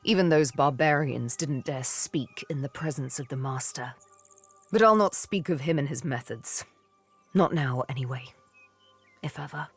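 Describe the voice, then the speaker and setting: An actress playing a haunted, wistful young elven woman in a video game, telling her tale in deliberate, poetic, theatrical phrases. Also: deep voice, husky, faint music behind. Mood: haunted, pained. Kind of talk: dramatic video game dialogue, intimate storytelling.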